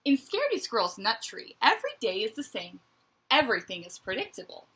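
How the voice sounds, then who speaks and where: A woman reading a picture book aloud to children like a teacher, with expressive emphasis and chatty asides. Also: fairly high pitch, brisk, breathy edge. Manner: expressive, dramatic emphasis, conversational asides to young listeners.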